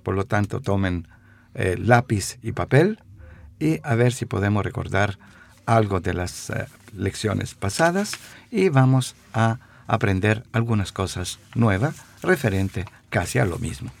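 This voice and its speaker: A man giving a radio language lesson, repeating phrases slowly with hesitant fillers. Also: lowish voice, expressive, vocal fry. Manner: slow, repeats phrases for dictation; stumbling fillers.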